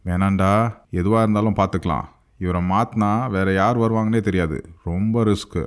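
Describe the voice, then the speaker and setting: A man playing a chubby, sensible schoolboy in a cartoon, cautious and quick to retort. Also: low voice, fairly even intonation, clean tone, lightly acted.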